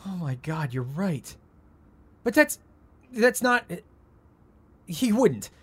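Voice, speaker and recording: A husky-voiced man in his thirties as a rich family's volatile heir in an audio drama. His pitch swings widely as he stammers and pushes his words out with wounded indignation. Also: sing-song, slow, a bit bright, exaggerated voice. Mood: confused, defensive. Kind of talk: naturalistic audio drama acting.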